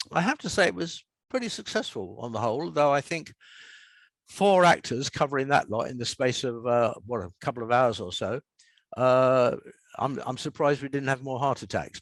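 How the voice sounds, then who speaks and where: A man's voice: mid-range voice, no echo. Male, 70s, southern English. Interview guest, wry anecdotal delivery, close dry sound. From South London, England.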